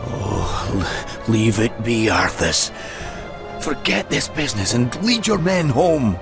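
An actor playing a gruff, fatherly old dwarf warrior in a fantasy game, a cautious and loyal mentor with a measured delivery. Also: medium pitch, very animated, full character voice. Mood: pleading. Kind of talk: fantasy video game cutscene acting. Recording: game music behind the voice.

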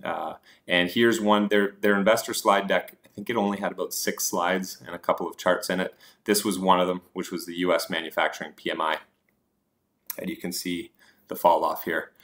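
A man's voice: low voice, little pitch movement, moderate pace, fairly bright tone. A man presenting investing slides as a host, explaining points informally with hesitant asides.

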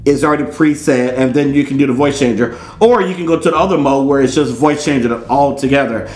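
A man's voice: mid-range voice, a little fast, a little mellow. A middle-aged man with an American accent hosting a casual, comedic unboxing in a playful, theatrical way. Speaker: unboxing host. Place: small indoor studio set. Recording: roomy, echoey indoor sound.

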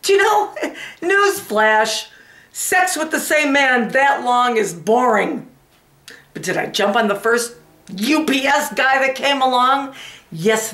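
Brash, wounded middle-aged wife, played by an actress, volatile and melodramatic stage monologue, roomy echo. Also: female voice, on the high side, a bit bright, pitched above the performer's own voice, exaggerated voice. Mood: hysterical, indignant. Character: brash, wounded middle-aged wife, betrayed after twenty-five years. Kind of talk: comic dramatic audition monologue. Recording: echoey room.

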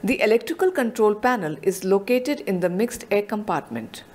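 A woman narrating a scripted technical explanation in a measured, explanatory voice-over. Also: medium pitch, expressive, darkish tone.

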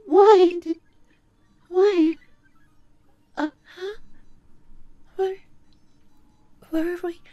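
A woman playing a lonely, devoted, remorseful woman in an ASMR audio roleplay, speaking softly and breathily with stammers and broken sentences. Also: extremely high pitch, steady tone, muffled recording, faint music behind, exaggerated voice. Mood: hurt, confused. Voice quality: soft, breathy, often dropping to a whisper. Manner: halting, stammering, breaking up mid-sentence.

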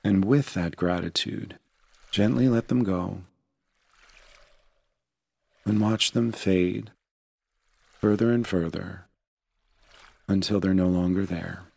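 A man with a very deep voice leads a guided meditation. His delivery is gentle and unhurried, with a noticeable creak in the tone. The sound is dry and close, free of room echo. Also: fairly even intonation. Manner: gentle, unhurried, soothing instruction.

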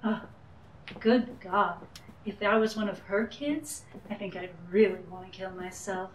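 A woman's voice: mid-range voice, unhurried, lightly acted. A woman playing a cool, teasing, evasive femme fatale in a noir radio drama. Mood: sardonic. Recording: roomy, distant mic, faint noise.

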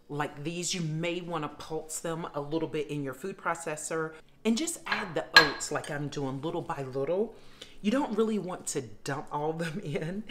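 Woman, middle-aged, low pitch, chatty home-kitchen cooking host. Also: pushed and bright. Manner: chatty, addresses viewers as fam. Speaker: cooking vlogger. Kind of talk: casual cooking demonstration. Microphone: camera facing her at counter.

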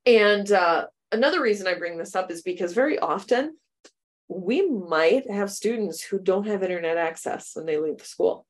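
A woman's voice: mid-range voice, animated, moderate pace. An American woman, middle-aged, leading a computer science workshop for teachers over a video call, chatty and upbeat and laughing often. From United States. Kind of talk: webinar workshop demo. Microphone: webcam video call.

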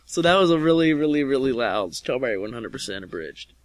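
A man's voice: mid-range voice, expressive, a little fast, no echo. A young man giving casual, mocking reaction commentary in an exasperated, sarcastic manner. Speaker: commentator reviewing an amateur anime parody. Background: soundtrack of a loud anime video.

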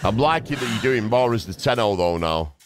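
A man hosting a gameplay video with casual, excitable, chatty reactions. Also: on the low side, a little fast, over music. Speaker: gamer, video host. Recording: clear background game noise.

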